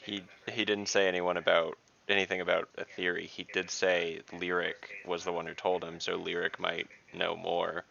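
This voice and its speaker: A man's voice: sits low, flat delivery, normal speed, creaky, few pauses, bright, forceful tone, dull audio. A man playing a tabletop game online, chatting casually as he speaks for his character. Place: online voice call.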